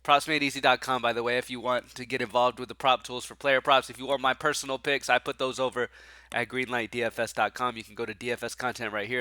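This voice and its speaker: Man, congested and nasal, excitable and slangy, sharp bright voice, dry close sound. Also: mid-range voice, no room sound. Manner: excitable, slangy, swears casually. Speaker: sports betting picks host. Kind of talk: casual betting picks talk.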